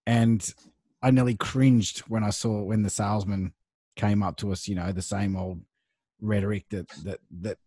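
A man's voice: fairly low pitch, steady tone, moderate pace, mellow, dry recording. A man hosting a podcast, easygoing and affirming, telling stories in casual chat on a close studio mic. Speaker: podcast host. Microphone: studio microphone close up.

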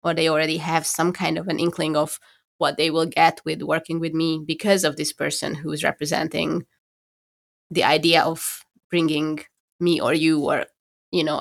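A woman with a Hungarian accent, co-hosting a podcast from home, talking things through thoughtfully and pausing to find her words. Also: low voice, flat delivery, vocal fry. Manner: thoughtful, searching for words with restarts. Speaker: podcast co-host. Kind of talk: casual conversation.

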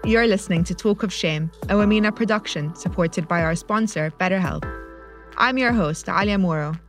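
A woman, born in Egypt, reading her podcast introduction in measured scripted narration. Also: mid-range voice. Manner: measured, clearly read intros. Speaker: podcast host, journalist. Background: faint music.

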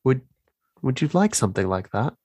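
A man playing a tabletop roleplaying game, talking casually and haltingly in short improvised phrases. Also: medium pitch, very animated, a little mellow, breathy edge, no echo.

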